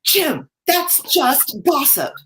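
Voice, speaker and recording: A woman playing a mild, proper small-town committee member in a comic play reading, plain and gently reproving. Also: high-pitched, sing-song, takes it slowly, exaggerated voice. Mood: matter-of-fact.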